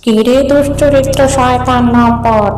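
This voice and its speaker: A woman's voice: on the high side, steady tone, a little slow, darkish tone, roomy sound, close to an everyday voice. A woman voicing a bitter, vengeful female ghost in a horror cartoon, accusing and scornful. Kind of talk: Bengali horror cartoon voice acting. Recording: distant voice over music.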